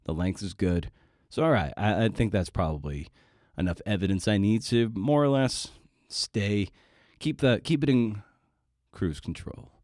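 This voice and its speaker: A man's voice: lowish voice, measured pace, a little mellow, no echo, studio quality. A man hosting a podcast in an informal, rambling, conversational way. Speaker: podcast host.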